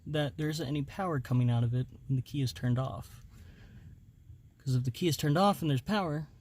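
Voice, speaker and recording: A man's voice: fairly high pitch, darkish tone. A man hosting a hands-on DIY tutorial, explaining each step in an informal, casual way. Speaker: host of a DIY installation demonstration. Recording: noticeable background noise.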